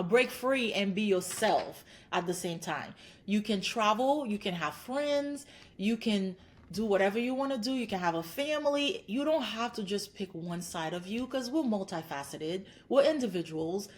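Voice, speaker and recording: A woman from Haiti in her thirties speaks as a psychologist guest on a podcast. She is earnest and encouraging, stacking her points into lists, and her voice sits at a middle pitch. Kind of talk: podcast interview.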